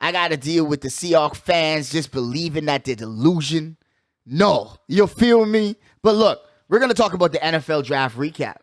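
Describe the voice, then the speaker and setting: A man's voice: on the high side, animated, moderate pace, dry recording, studio quality. A man hosting a casual sports talk show, slangy and emphatic, often repeating his catchphrases. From United States. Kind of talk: casual hyped sports chat.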